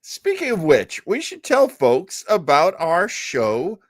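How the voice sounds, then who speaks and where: Male radio co-host, unhurried and very animated in pitch, casual, playful and halting, closely recorded and dry. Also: no echo. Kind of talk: casual chat introducing old-time radio.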